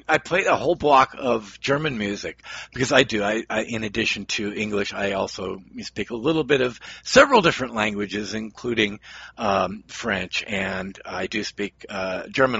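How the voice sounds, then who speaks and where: A man's voice: mid-range voice, gravelly, pushed and bright, slightly rough, highs cut off. A man hosting a radio music show, chatting casually and rambling with lots of fillers and restarts.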